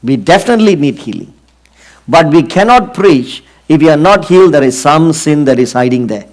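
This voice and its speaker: A middle-aged man from Singapore with a Singaporean accent, preaching an earnest sermon and often pausing on fillers. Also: on the high side, lively intonation, darkish tone. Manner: earnest, drawn-out emphasis with frequent fillers.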